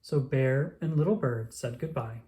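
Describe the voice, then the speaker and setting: A storyteller reading a children's picture book aloud with expressive narration. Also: medium pitch, unhurried.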